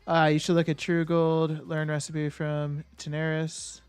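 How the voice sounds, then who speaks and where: A man with a slightly high voice chats loosely with his stream viewers. He thinks out loud, trails off and restarts his sentences, with soft music playing underneath. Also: completely flat delivery, measured pace, clean tone, no room sound. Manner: thinks aloud and answers chat with many fillers and restarts. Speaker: game streamer. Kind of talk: casual live-stream chat.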